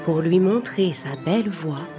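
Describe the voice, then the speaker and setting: A woman narrating a children's fable as a storyteller, in a measured, expressive scripted read. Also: on the low side, over loud music.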